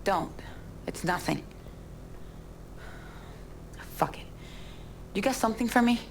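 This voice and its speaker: A woman's voice: medium pitch, expressive, moderate pace, creaky, a little hiss or hum. An actress playing a hard-bitten woman smuggler with a blunt, foul-mouthed manner in a game cutscene. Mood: irritated, bitter. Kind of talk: naturalistic video game cutscene acting.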